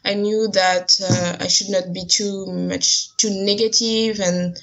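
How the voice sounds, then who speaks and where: A woman's voice: mid-range voice, little pitch movement, slow pace, gravelly, a bit bright. A woman from Guadeloupe with a French accent, answering interview questions casually and searching for her words. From Guadeloupe, French West Indies. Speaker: interview guest. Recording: dull lo-fi sound.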